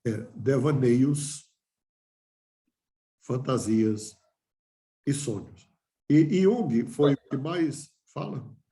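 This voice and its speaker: A man's voice: mid-range voice, expressive, extremely slow, pauses often, warm tone, reverberant. An older man giving a reflective lecture to a class, asking himself questions and restarting phrases.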